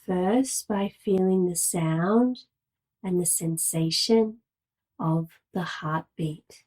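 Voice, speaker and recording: Woman, meditation guide, lowish voice, very slow pace, calm and soothing, long pauses. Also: rough recording. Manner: calm, measured, soothing delivery. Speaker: yoga nidra meditation guide.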